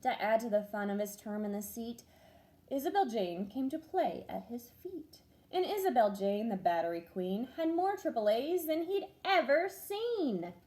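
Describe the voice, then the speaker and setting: A young first grade teacher reads a rhyming picture book aloud in a bright, high voice. Her delivery is sing-song and animated, rising and falling with the verses. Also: normal speed. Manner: expressive, sing-song storytelling.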